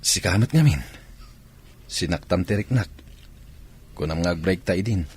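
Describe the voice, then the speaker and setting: A man as an ilocano army husband, husky, swings his pitch a lot. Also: lively intonation, measured pace, darkish tone, heavily acted. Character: married army man, commander at camp. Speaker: soldier husband and father. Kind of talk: radio drama acting.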